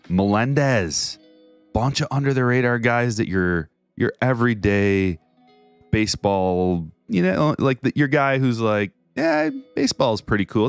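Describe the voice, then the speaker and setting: A man's voice: smooth, dry recording. A man hosts a casual livestream, chatting in a jokey, chatty way and reading viewer messages aloud. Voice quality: deep bass voice. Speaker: card break streamer.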